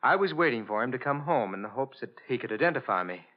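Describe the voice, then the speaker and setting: A man's voice: medium pitch, lively intonation, fast, lightly acted. An actor playing an earnest, straightforward male witness telling his account in an old radio crime drama. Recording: dull old radio recording.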